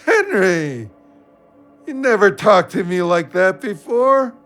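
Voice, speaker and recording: A man's voice: high voice, big pitch swings, takes it slowly, fairly bright tone, soft background music, exaggerated voice. An actor playing a grief-stricken, drunken friend brooding on his guilt in an audio drama. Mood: hurt.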